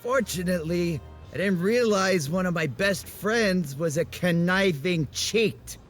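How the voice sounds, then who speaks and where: A man's voice: sits high, a little slow, faint music behind. An actor playing a dry, grumbling older man, a former dance teacher, in a video game. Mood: wry. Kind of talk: video game character acting.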